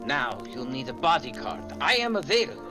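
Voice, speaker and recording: An actor playing a chummy, wryly eager wheeler-dealer in a 1940s-style radio drama. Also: male voice, medium pitch, very animated, a little fast, music in the background, close to an everyday voice.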